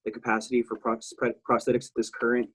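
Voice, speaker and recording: A man's voice: mid-range voice, completely flat delivery, fast, a bit bright, husky. A man in his thirties from South Texas, a military veteran talking as an interview guest, rambling and blunt, with many restarts and fillers. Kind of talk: casual interview talk.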